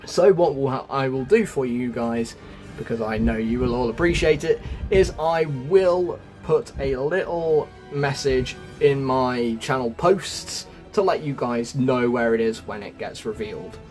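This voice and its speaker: A man's voice: mid-range voice, a little slow, darkish tone. Chatty man narrating over music. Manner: chatty, addressing viewers as folks. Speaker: gaming video creator. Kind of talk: casual gameplay guide narration.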